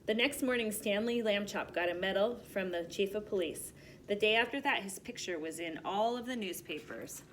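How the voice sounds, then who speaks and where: A woman teacher reading a storybook aloud to young children and giving simple directions in an expressive way. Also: mid-range voice, moderate pace, slight background noise, rough recording.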